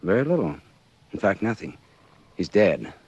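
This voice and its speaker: An actor playing a wry, hardboiled private detective in a 1940s radio drama, with an American accent and a sardonic, clipped manner. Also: male voice, fairly low pitch, sing-song, moderate pace, very noisy. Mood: cool, businesslike. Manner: sardonic, clipped, world-weary. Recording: dull 1940s radio recording.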